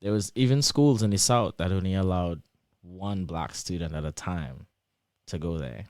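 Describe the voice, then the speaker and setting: A young man whose Trinidadian accent drifts toward American, a guest explaining things in a lively, casual way with lots of examples and fillers. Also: low-pitched, normal speed, no echo, clean studio sound. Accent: Trinidadian shifting toward American. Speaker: interview guest, PhD student.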